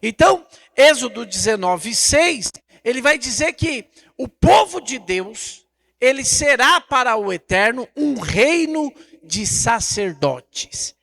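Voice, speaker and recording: High-pitched, animated Brazilian man preaching. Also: slow pace, creaky. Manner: emphatic, didactic, rhetorical questions and repetition. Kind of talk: Bible teaching sermon.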